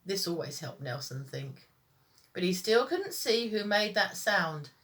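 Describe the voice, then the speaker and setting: A woman teacher reading children's stories aloud in an expressive, sing-song storytime style. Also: medium pitch, a little slow.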